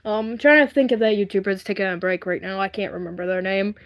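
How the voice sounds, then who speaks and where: A woman's voice: mid-range voice, steady tone, quick pace, lo-fi sound. A woman hosting a casual livestream, talking in a rambling, earnest and emphatic way and often repeating herself. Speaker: livestream host.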